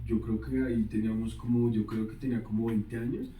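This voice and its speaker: A man sharing a personal testimony as a guest, halting and full of fillers. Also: on the low side, level tone, over a noisy crowd. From Colombia. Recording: roomy, distant mic, faint background noise.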